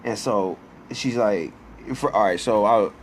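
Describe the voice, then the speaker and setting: A man's voice: lowish voice, measured pace, poor audio. A man in his thirties telling a casual, profane personal story in a slangy, conversational way, quoting dialogue as he goes. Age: 30s. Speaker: storyteller and host. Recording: clear background noise.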